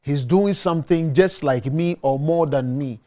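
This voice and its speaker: A man's voice: on the high side, unhurried, mellow. A man in his thirties with a Ghanaian accent, talking earnestly as a guest musician in a TV studio, repeating words and slipping into pidgin. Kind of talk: casual interview talk. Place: tv studio set.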